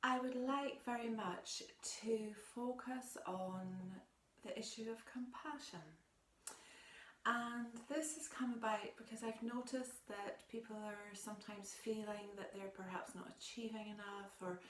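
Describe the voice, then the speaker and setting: A woman leads a guided meditation in a gentle, soothing, soft voice that often turns breathy and close to a whisper, with long pauses. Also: fairly high pitch, fairly even intonation. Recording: roomy echo with faint background noise.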